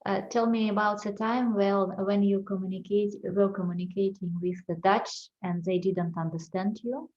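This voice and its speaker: A woman's voice: medium pitch, fairly even intonation, moderate pace, hardly any pauses, a little mellow, dull audio. A woman interviewing a guest, asking short questions and giving brief encouraging replies.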